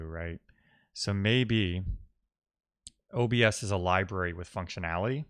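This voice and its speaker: A man who streams live coding, chatting casually and musing out loud in loose fragments as he works. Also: low-pitched, smooth. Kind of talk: casual thinking-aloud coding stream chat.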